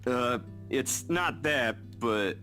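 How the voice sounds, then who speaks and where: A man's voice: mid-range voice, extremely slow, sharp tone. A man voicing a shy, flustered young photographer who stammers and stays awkwardly polite. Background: game music.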